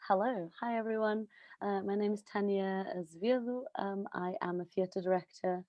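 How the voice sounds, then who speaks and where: A woman, a theatre director, speaking in a measured way with frequent ums. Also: medium pitch, steady tone, dry recording. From Portugal. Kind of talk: panel introduction on a video call. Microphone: video call. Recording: dry, dull video call audio.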